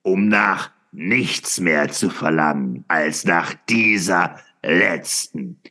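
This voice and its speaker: A man playing a fervent, brooding philosopher in a radio play, declaiming haltingly with dramatic pauses and repetitions. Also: deep voice, bright, forceful tone, exaggerated voice. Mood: grave, urgent. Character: fervent, brooding male philosopher proclaiming eternal return. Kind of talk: theatrical radio play monologue.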